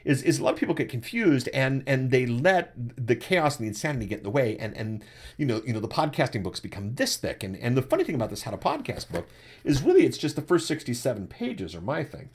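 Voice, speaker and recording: A man in his forties with a deep voice, hosting a live webcast and answering chat questions about podcasting from his desk. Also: fast, slightly rough. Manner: animated, repeats words when starting a thought. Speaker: podcasting coach and webcast host. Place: indoors at a desk, webcam framing. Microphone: desktop USB microphone.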